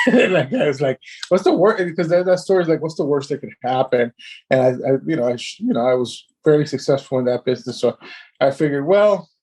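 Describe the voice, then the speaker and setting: A man's voice: on the high side, animated, brisk, darkish tone, slightly rough. A man in his thirties, born in Cuba and raised in Miami, telling his story as a podcast guest, chatty with restarts and laughs. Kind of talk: casual podcast interview.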